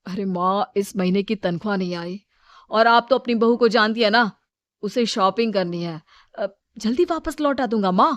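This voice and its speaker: A woman playing a selfish, smooth-talking adult son with a casual, self-serving manner in a Hindi moral story. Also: medium pitch, normal speed, no room sound, lo-fi sound, natural voice. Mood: wheedling.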